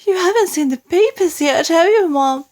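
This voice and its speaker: A woman's voice: extremely high pitch, expressive, moderate pace, darkish tone, voice pushed up. A woman reading aloud voices a timid older maid who talks in a respectful, stammering way. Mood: quivering.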